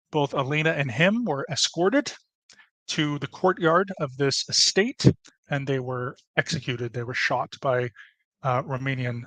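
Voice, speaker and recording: A man's voice: medium pitch, a bit bright, no room sound. A man giving an informal history presentation, explaining steadily with many fillers and restarts, over a video call.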